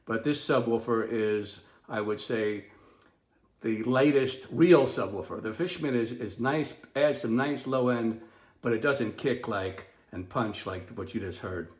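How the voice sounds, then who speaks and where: A man's voice: mid-range voice, measured pace, a little mellow. Man reviewing gear in an echoey room. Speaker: product reviewer and demonstrator. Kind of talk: casual, unscripted product review. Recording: roomy, echoing sound.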